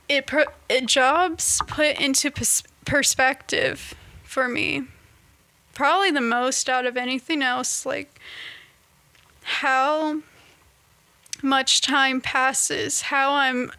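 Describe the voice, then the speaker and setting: Slow, high-voiced young woman vlogging. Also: sharp tone, no echo. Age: 20s. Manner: halting, searching for words with many restarts. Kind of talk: casual reflective monologue.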